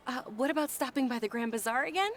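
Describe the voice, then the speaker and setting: An actress playing a polite, tender-hearted young noble lady in a video game, earnest and gentle in manner. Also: high-pitched, fast talker, slight background noise, close to an everyday voice. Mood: cheerful. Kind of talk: scripted game dialogue. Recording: music under the voice.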